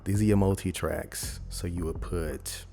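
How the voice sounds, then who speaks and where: A man presenting a software tutorial in a casual, rambling, conversational way, talking informally through each step. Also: low-pitched, fairly even intonation, unhurried, gravelly, faint music behind. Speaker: video editing tutorial host.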